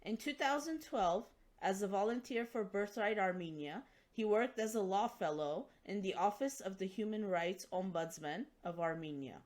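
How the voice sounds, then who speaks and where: A woman hosts an interview with a formal, measured delivery. She sits at a middling pitch and enunciates carefully, as if reading from prepared notes. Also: a little mellow. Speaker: interview host. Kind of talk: formal scripted introduction and interview.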